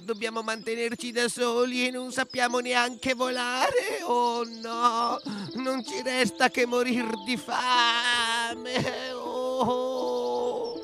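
Helpless storybook fledgling crow: high, slow and plaintive, over clear music. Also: male voice, level tone, exaggerated voice. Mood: wailing, despairing. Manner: plaintive, whining. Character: helpless, pitiful baby crows. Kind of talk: acted fairy-tale storybook voice.

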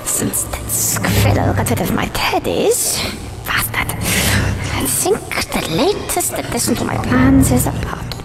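An actor playing a scheming, eccentric evil professor in a video game, fawning and pompous with theatrical, drawn-out muttering. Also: sits high, sing-song, creaky, loud music behind the voice, exaggerated voice, poor audio. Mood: scheming glee. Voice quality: theatrical, swooping voice. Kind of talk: video game cutscene acting.

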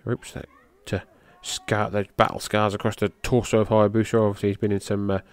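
A middle-aged man, a podcast host giving loose, rambling play-by-play of a match, who swears casually and lifts his voice at the big moves. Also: a little fast. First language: English. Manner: loose, rambling, swears casually, gets excited at high spots.

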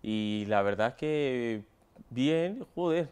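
A man's voice: mid-range voice, expressive, slow, a little mellow, clear, resonant tone. A man of twenty with a Madrid Spanish accent, answering casually in an interview as a footballer. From Spain, Madrid area. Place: stadium dugout with plastic seats.

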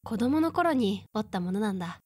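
A woman's voice: mid-range voice, expressive, fairly quick, darkish tone, breathy edge, no room sound, clean studio sound. A woman playing a teasing, perceptive woman in her mid-thirties who puts on cheer, in casual audio drama dialogue.

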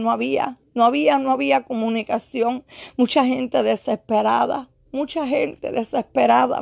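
A woman's voice: high voice, gravelly, darkish tone, no echo, clean studio sound. A woman preaching in prophetic exhortation and prayer, fervently and with insistent repetition of phrases.

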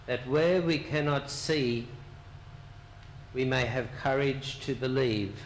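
Slow, measured priest in an echoey church. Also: male voice, medium pitch. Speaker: priest leading the service. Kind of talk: liturgical prayer and announcements. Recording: echoey church with background noise.

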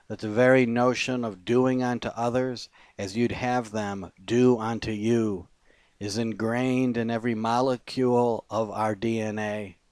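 A man recites poetry in a fairly low voice. His pace is very slow and deliberate, with measured phrases set apart by pauses. The sound is dry, with no room echo around the words. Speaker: poet. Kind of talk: poetry reading.

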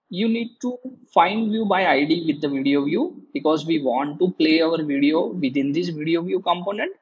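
A man giving a halting, step-by-step screen tutorial as a programming lecturer. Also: high-pitched, slightly rough, highs cut off. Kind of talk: screen-recorded tutorial explanation.

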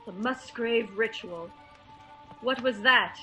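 An actress playing a poised, inviting radio hostess, setting the scene in a measured announcer's manner for a radio drama. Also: female voice, on the high side, sharp tone, soft background music. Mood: curious.

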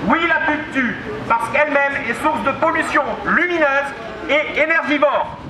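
A man's voice: extremely high pitch, moderate pace. A man reading protest slogans emphatically at an outdoor street protest. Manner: emphatic, chanting. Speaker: protest speaker. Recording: echoey street with traffic noise.

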